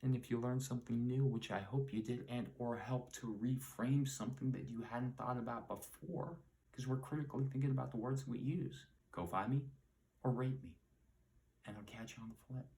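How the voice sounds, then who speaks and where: A man's voice: mid-range voice, a little fast, mellow. Chatty man, roomy and distant. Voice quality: soothing voice. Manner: chatty, rambling, self-promoting asides. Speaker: host of a Latin word-origin channel. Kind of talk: casual etymology explainer.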